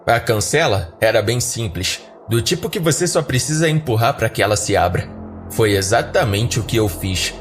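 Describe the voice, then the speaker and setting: A man's voice: on the low side, expressive, normal speed. A man with a Brazilian accent narrating a scripted first-person horror story as a podcast narrator. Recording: background music under narration.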